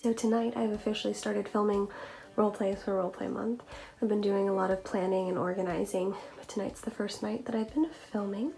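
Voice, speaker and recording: A woman with a middle-pitched voice talks casually to her viewers as a video creator. She explains things in an informal, conversational way, in the style of a behind-the-scenes vlog. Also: fairly even intonation, a little fast, gravelly, dark tone, faint music behind, poor audio. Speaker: ASMR video creator and vlogger.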